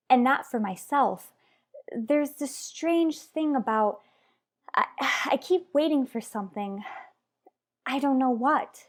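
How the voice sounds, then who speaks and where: Animated, higher-voiced anxious mother played by an actress. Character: anxious mother afraid for her young son. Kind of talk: soap opera radio drama acting.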